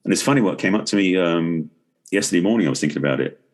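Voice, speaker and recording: A man's voice: deep voice, lively intonation, quick pace. A middle-aged man from England with an English accent, talking reflectively as a guest in a casual podcast conversation. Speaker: podcast guest, relationship expert and author.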